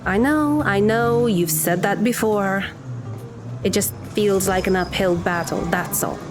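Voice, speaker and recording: A woman's voice: medium pitch, unhurried, over music, natural voice. A woman playing a dry, sarcastic teen girl. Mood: weary. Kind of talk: audio drama acting.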